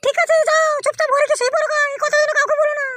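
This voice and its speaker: A woman voicing a bossy middle-aged village mother-in-law in a comic cartoon, scolding and questioning through a squeaky pitch-shift effect. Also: little pitch movement, rapid-fire, fairly bright tone. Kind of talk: comic Bengali cartoon dialogue.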